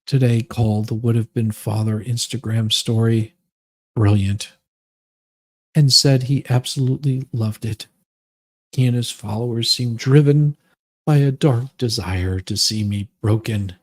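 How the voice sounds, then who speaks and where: A man's voice: medium pitch, fairly even intonation, a little slow, close to an everyday voice. Man acting out a melodramatic, self-pitying woman, mock-solemn. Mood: wounded, grave. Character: self-pitying, melodramatic woman reading her grievance essay aloud. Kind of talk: mocking dramatic reading.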